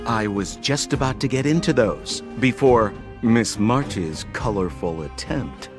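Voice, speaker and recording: A man playing a smooth-talking, boastful young swindler guide in a video game, with a theatrical, wheedling salesman's manner. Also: fairly low pitch, animated, measured pace, over music. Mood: breezy explaining.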